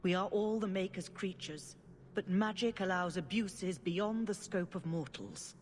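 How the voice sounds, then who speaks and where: An actress playing an elderly, gentle head cleric in a game, speaking in a measured, solemn and formal way. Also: female voice, medium pitch, a little hiss or hum, lightly acted. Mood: compassionate, grave. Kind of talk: dramatic game dialogue. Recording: long church-like reverb, music behind.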